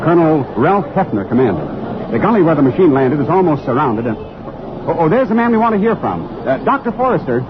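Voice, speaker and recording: Fast, animated radio field reporter. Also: male voice, fairly high pitch. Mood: urgent, formal. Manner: urgent, formal, polite interviewer. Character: excited male radio field reporter at military scene. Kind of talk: 1930s-50s radio drama news commentary. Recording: muffled old radio, noisy crowd and machinery behind.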